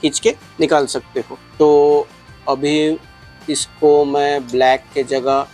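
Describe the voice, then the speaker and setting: Man, slightly high voice, casual tutorial style, explanatory, English phrases mixed in, music behind. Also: flat delivery, slow, darkish tone. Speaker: product reviewer and shop presenter. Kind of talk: casual step-by-step product tutorial.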